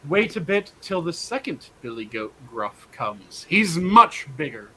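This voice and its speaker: A man's voice: fairly high pitch, big pitch swings, unhurried, sharp tone, a little hiss or hum, exaggerated voice, low-quality recording. A man reading a storybook, voicing the tiniest young billy goat in a small, timid, pleading voice.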